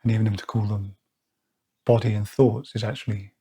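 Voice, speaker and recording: A man leading a guided meditation, speaking slowly and softly with long pauses. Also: fairly low pitch, flat delivery, husky. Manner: slow, soft-spoken, with long pauses. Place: outdoors or by an open window with birds nearby.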